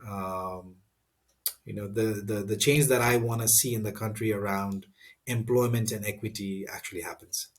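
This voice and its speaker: Thoughtful man with halting speech. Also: fairly low pitch, steady tone. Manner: thoughtful, halting with many fillers and restarts. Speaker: guest. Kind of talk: interview answers.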